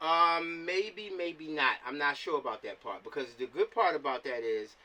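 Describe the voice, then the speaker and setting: A man with a fairly high, very bright voice talks like a director rallying a team. He is casual, encouraging and emphatic. The sound comes from a bit away from the mic on a video call. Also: animated, normal speed. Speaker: film director. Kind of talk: casual pep talk on a group video call. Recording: distant video-call microphone.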